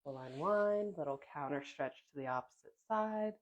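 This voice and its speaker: Gentle, sniffly woman guiding yoga. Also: low-pitched, muffled recording. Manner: gentle, calm cueing with soft pauses. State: sniffly from a sinus infection. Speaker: yoga instructor. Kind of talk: guided yoga class instruction.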